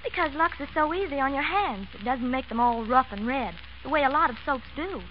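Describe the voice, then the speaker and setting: A woman's voice: high-pitched, lively intonation, brisk. An actress playing a cheerful, helpful young American woman in an old scripted radio commercial. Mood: friendly advice. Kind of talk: 1930s-40s radio commercial acting. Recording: muffled, hissy old radio broadcast.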